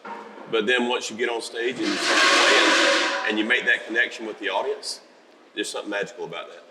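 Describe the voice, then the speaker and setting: A middle-aged man from South Carolina, a country musician with a raspy voice, chatting easily and humbly in a festival interview. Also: on the low side, fairly even intonation, fairly quick, big-room sound, heavy background noise.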